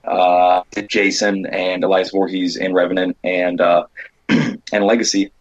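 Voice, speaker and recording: An American man with a low voice and a husky edge talks casually as an interview guest. He rambles and acts out bits of dialogue as he goes. The audio is dull and lo-fi. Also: unhurried. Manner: rambling, animated retelling with quoted dialogue. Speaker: guest, indie horror filmmaker and stunt performer.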